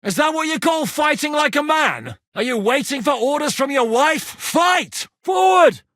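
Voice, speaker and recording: A battle-hardened rebel commander, lively, animated delivery, game voice work. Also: male voice, very high-pitched, unhurried, no echo, studio quality, full character voice. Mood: shouting orders. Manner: commanding, blunt, bellows battle orders. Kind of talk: game voice acting.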